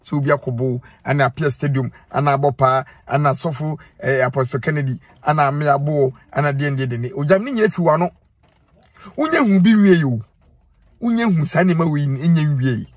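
A middle-aged Ghanaian man with a husky, slightly raised voice talks straight into a phone held close inside a parked car. He lectures and admonishes in earnest, repeating his points for emphasis. The sound is dry and close. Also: a little high, fairly quick, no room sound. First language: Twi. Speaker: social media commentator. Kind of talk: direct-to-camera advice monologue.

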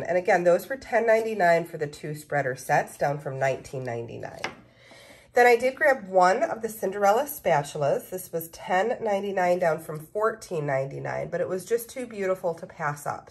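A woman's voice: fairly low pitch, a little hiss or hum. A woman recording a casual shopping haul vlog on a phone, enthusiastically showing items one by one and listing their prices. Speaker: personal shopper and pin seller. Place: indoors at a table of merchandise.

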